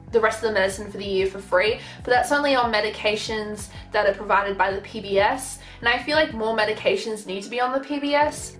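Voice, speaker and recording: A woman's voice: mid-range voice, fairly bright tone, reverberant, rough recording. A young woman vlogger talking frankly to camera in a chatty, casual way, full of fillers. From Australia.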